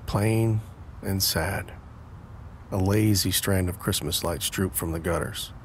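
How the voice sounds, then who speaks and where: A man's voice: low voice, a little hiss or hum. A man narrating an audio drama from a script, describing scenes. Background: instrumental music.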